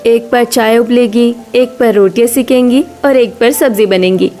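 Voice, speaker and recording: Female actor as elder village bahu, practical, agreeable, brisk, fairly high voice, cartoon. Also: darkish tone, natural voice. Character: practical, organised elder daughter-in-law.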